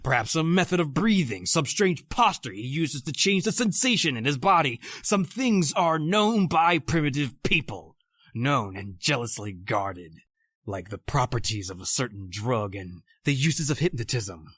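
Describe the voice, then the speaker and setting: An actor playing a proud, educated doctor adrift at sea, in a formal, theatrical old-time radio horror style. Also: male voice, mid-range voice, lively intonation, moderate pace, a bit bright, husky, dry recording. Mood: grave, brooding.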